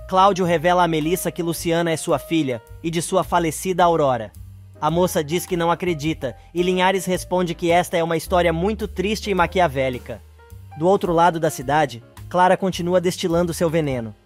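Higher-pitched Brazilian man narrating soap recaps. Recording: voice-over with music underneath. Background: background music.

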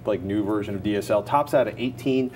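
A man's voice: fairly low pitch, fairly quick, darkish tone. Wry American man, noisy studio recording. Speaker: co-host of a tech show. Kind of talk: casual scripted tech chat. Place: indoor TV studio set. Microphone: lapel mic.

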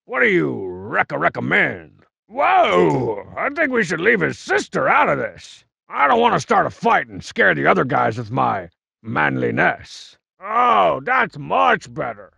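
An actor playing a cocky, boastful, juvenile cartoon villain for a comedy video game, with a raspy growl and mocking, slangy tough-guy delivery. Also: on the high side, wildly swooping pitch, unhurried, bright, forceful tone, dry recording, heavily acted. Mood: scheming, smug. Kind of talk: exaggerated comic video game character acting.

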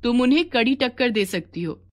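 Woman playing a kind, encouraging neighbour, fairly high. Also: lively intonation, moderate pace, natural voice.

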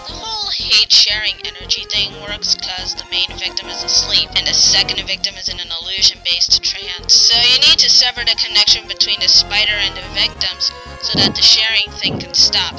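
A woman's voice: medium pitch, normal speed, hardly any pauses, natural voice, rough recording. A woman voicing a casual, knowledgeable demon big sister explaining things conversationally in a comic dub. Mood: offhand, explaining. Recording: loud ambient music underneath.

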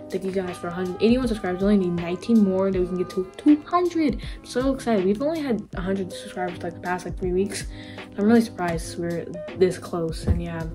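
Young woman casually hosting a reaction vlog. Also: fairly low pitch. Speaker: reaction video host. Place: room at home, indoors.

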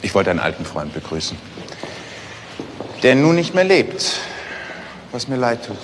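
An actor playing a suave, cynical career criminal with eloquent, ironic phrasing in a German crime drama. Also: male voice, medium pitch, sing-song, a little slow, slight background noise. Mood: unrepentant. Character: suave, cynical male career criminal, self-assured and unrepentant.

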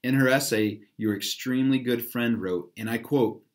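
A man acts out an eccentric older gentleman from a children's storybook. He is playful yet formal, a showman of games with a lifted voice. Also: medium pitch, moderate pace, close to an everyday voice. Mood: teasing.

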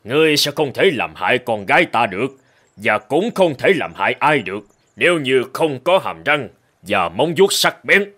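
A man's voice: mid-range voice, sing-song, bright, forceful tone. A man voicing a shrewd, protective old woodcutter father in a cartoon fable, cautious and wily. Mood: sly, firm. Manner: cautious, wily, measured bargaining.

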